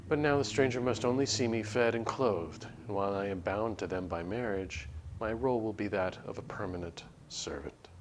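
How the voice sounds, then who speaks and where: A man livestreaming a game, chatting casually and reading story text aloud in a measured, literary way. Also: lowish voice, background noise. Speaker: game streamer. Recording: background music under the voice. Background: music.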